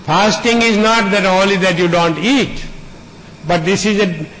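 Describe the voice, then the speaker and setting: A man with a noticeably high voice gives a spiritual teaching at a very slow, deliberate pace. He speaks earnestly, stacking up repeated lists of words. A faint hiss of background noise runs under him. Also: a bit bright, low-quality recording. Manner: unhurried, builds long repeated lists. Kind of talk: spiritual discourse to an audience.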